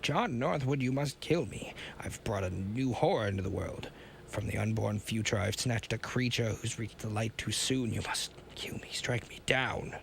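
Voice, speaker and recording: A man voicing a huge, shaggy, frightened scientist with a German accent who talks in hushed whispers. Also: on the low side, moderate pace, gravelly, a little hiss or hum. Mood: fearful.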